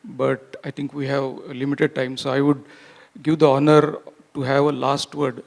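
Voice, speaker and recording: A man moderating a conference panel, polite and halting with many repeats. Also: mid-range voice, level tone, creaky. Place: conference hall.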